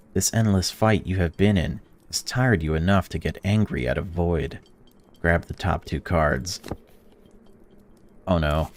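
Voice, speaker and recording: A young man in a let's-play, reading a visual novel aloud and reacting to it, swearing freely and swinging between excited outbursts and flat reading. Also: sits low, a little hiss or hum. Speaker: gaming YouTuber.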